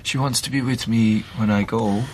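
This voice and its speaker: A man's voice: on the low side, fairly even intonation, moderate pace, slight background noise, close to an everyday voice, rough recording. An actor with an Irish accent playing a wry, resolute man in a radio play, informal and decisive in manner. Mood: resolved, protective. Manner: informal, dryly joking, decisive. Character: wry, resolute Irish man who has chosen to die.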